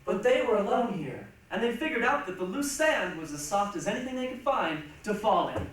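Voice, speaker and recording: A woman performing a dramatic stage reading with measured, theatrical storytelling. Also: medium pitch, lively intonation. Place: large echoey room, recorded from a distance. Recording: echoey hall, distant mic, faint noise.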